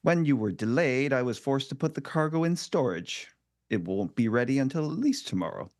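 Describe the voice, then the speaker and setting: A man's voice: medium pitch, animated, brisk, darkish tone, dry recording, clean studio sound, lightly acted. A man playing a menacing alien pirate boss in a table read, mock-courteous and theatrical. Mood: sly hospitality. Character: menacing, silkily mocking Deltan pirate crime boss. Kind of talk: fan script table read.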